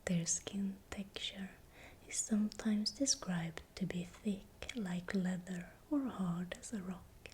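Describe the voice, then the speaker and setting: A woman with a Swedish accent whispering breathily and deliberately in short, soft phrases, as the host of an ASMR video. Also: mid-range voice, steady tone, a little hiss or hum. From Sweden. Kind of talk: whispered ASMR, explanatory narration.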